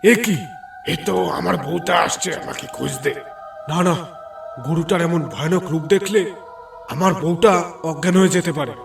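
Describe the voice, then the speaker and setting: A man's voice: a little high, normal speed, vocal fry, pauses often, far from the mic. A man playing a rustic village husband in a horror cartoon, recorded with music behind him. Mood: alarmed, menacing. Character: rustic village husband fetching his cow, later a ghost. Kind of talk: Bengali horror cartoon voice acting.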